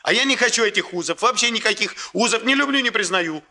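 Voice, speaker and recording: A cocky young Soviet factory worker in a radio drama, with a fairly high, swooping voice. He justifies himself with emotional, slangy self-pity, in a bright, forceful tone. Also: animated, quick pace, full character voice. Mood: aggrieved, self-pitying. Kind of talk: Soviet radio play acting.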